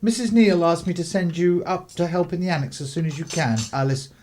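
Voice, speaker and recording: A man's voice: on the high side, a little fast, darkish tone, close to an everyday voice. A man playing an evasive upper-class clergyman father of the 1930s in a radio play. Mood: evasive, dismissive.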